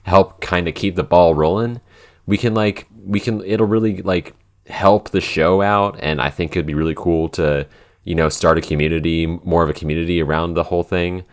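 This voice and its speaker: A man's voice: low-pitched, fairly even intonation, normal speed. A man co-hosting a podcast, chatting in a casual, unscripted, rambling way with an enthusiastic manner. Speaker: podcast co-host and show creator.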